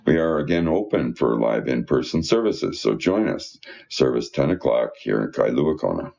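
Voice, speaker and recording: A man's voice: rumbling low, animated, moderate pace, gravelly, darkish tone. A man reading church newsletter material aloud, now and then stumbling over his words, in a dull, muffled recording. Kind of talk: read-aloud church announcements and prayer.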